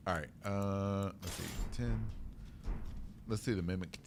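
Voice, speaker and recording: A man's voice: low-pitched, fairly even intonation, unhurried, over music. A middle-aged man giving casual, folksy, joking commentary as he streams a game, rambling as he goes. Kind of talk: casual live gaming commentary. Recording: clearly noisy background.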